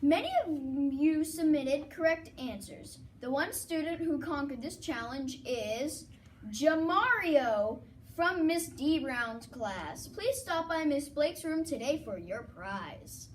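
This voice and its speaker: A woman's voice: sits high, animated, slow, distant mic, slight background noise. A young girl co-hosting a school news broadcast, reading in a sing-song way. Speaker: student co-anchor.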